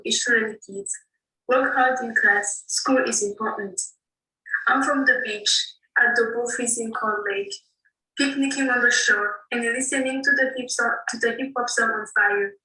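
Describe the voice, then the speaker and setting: Woman, poetry reading, bright, slightly high voice, echoey room, far mic. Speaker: student poet.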